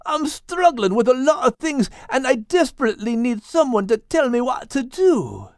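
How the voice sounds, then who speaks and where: A man's voice: extremely high pitch, sing-song, moderate pace, no room sound, clean studio sound, voice pushed up, heavily acted. A man voicing a troubled, earnest furry animal with a folksy, pleading manner in an audiobook. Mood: anxious, pleading. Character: troubled, earnest furry animal, male, self-doubting friend. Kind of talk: audiobook character voice.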